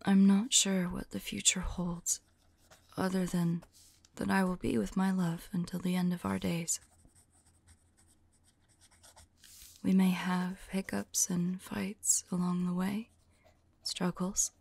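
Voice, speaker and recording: A woman playing a tender, devoted vampire lover in an intimate ASMR roleplay, speaking softly and affectionately, often in a whisper. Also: on the low side, little pitch movement, dry recording. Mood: wistful, grateful. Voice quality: soft, breathy, often whispering. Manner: slow, wistful, affectionate.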